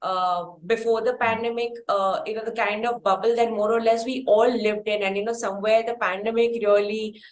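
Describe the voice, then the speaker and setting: A woman's voice: a little high, steady tone, brisk, hardly any pauses. A woman with an Indian accent hosts a conversational interview, phrasing her questions in a wordy, effusive way. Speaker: interview show host. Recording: roomy, echoing sound.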